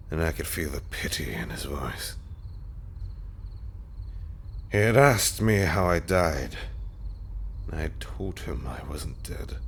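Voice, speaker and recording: A man playing a haunted, tender undead husband in an intimate audio roleplay monologue, speaking haltingly and often slipping into breathy whispers. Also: rumbling low, slight background noise, full character voice. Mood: weary, awed.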